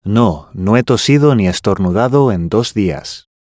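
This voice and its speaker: Male, fairly low pitch, dry close recording, careful enunciation, scripted lesson dialogue. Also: expressive, measured pace, darkish tone, dry recording. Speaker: language course dialogue reader.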